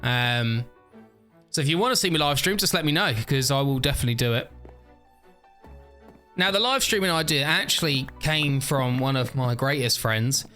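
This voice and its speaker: A man's voice: mid-range voice, pushed and bright. A man rambling through casual game commentary, overtired and a bit stuffy and nasal, often laughing at himself. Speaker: gaming YouTuber. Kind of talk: casual let's-play commentary.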